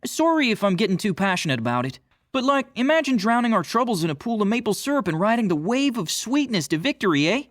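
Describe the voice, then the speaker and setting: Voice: medium pitch, sing-song, fast talker, dry recording, studio quality, natural voice. An actor playing an over-polite cartoon Canadian who speaks hesitantly with a Canadian accent and keeps apologizing. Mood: eager, apologetic. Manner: hesitant, constantly apologizing, tags sentences with eh. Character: over-polite, apologetic Canadian teen stereotype under a spell. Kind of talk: scripted character dialogue.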